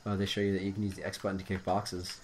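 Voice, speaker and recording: A man's voice: sits low, monotone, rapid-fire, very noisy. A tipsy man coaching his friend through a game, laughing, crude and bossy. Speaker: gameplay commentator. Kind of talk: casual gameplay chat.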